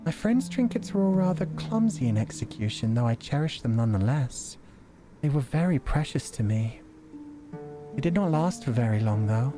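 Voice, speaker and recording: A man voicing a benevolent, subtly manipulative female mermaid in a visual novel, with formal, old-fashioned and unhurried diction. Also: medium pitch, very animated, over music, close to an everyday voice. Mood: soft, complimentary.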